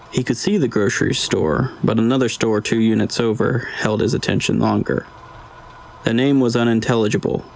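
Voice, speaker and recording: A man reading scripted dramatic audiobook narration in a measured, deliberate way. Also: fairly low pitch, vocal fry, low-quality recording. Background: ambient scene sound effects.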